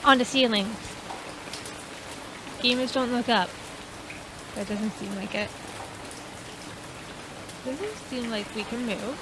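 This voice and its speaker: A woman's voice: on the high side, slow, long pauses, background noise. A woman hosting a casual game playthrough, informal in her commentary and stumbling a little as she reads text aloud. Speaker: gaming video host. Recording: prominent game soundtrack with rain. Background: loud game music and rain.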